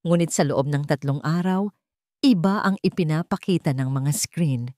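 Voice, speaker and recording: A woman's voice: deep voice, normal speed, dark tone, no echo, clean studio sound. A woman with a Filipino accent narrating a dramatic romantic story from a script.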